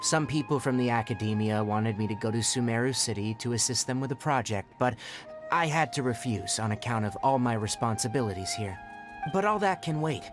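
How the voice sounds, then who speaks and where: A man plays an astute young ranger mentor from a video game, in a mid-pitched voice. He speaks in measured, precise sentences with a matter-of-fact air, over light background music. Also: expressive, lightly acted. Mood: calm, businesslike.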